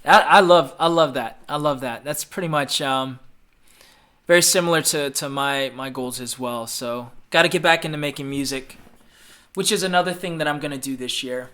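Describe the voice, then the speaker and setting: Livestream host, man, 30s, upbeat and chatty, fairly high bright voice. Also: moderate pace. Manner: upbeat, chatty, reads comments aloud and repeats phrases. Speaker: livestream host answering viewer questions. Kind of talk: casual live Q&A chat.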